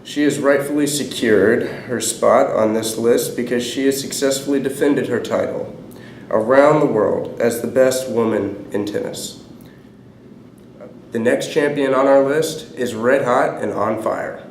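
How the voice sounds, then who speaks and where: A man's voice: mid-range voice, measured pace. Young man presenting sports, echoey. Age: teens. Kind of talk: scripted student newscast. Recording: echoey room, noisy amateur recording.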